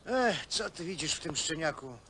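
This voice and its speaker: An actor playing a scheming, cajoling stout brother, colloquial and needling, in naturalistic film drama. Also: male voice, a little high, lively intonation, normal speed, bright, forceful tone. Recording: faintly noisy old film soundtrack.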